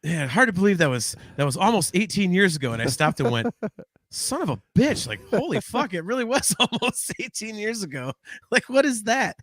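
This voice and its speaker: A man's voice: sits high, sing-song, moderate pace, a bit bright, no echo, studio quality. A man in his forties co-hosting a casual podcast chat, rambling and swearing with lots of false starts. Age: 40s. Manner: rambling, swearing, frequent restarts. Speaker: podcast co-host.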